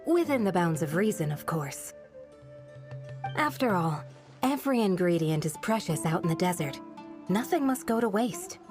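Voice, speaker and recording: A woman playing a courteous, practical village guardian in a video game, speaking in a measured, formal way over music. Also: fairly low pitch, very animated. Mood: composed, earnest. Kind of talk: video game character acting. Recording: faint music behind the voice.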